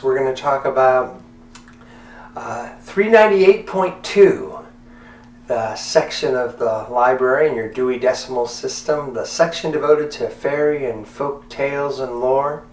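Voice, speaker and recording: A man with a mid-pitched voice hosts a storytelling podcast. His delivery is reflective and deliberate, with measured phrasing. He sounds set back from the microphone in a room with a strong echo. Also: a little hiss or hum. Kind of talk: reflective podcast talk with read-aloud essay. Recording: echoey room, distant from mic.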